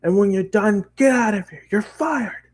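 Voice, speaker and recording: A man's voice: high-pitched, moderate pace, pitched above the performer's own voice. A man voicing an angry, bullying middle-aged male store boss in a blustering, barking way for a story reading. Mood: furious.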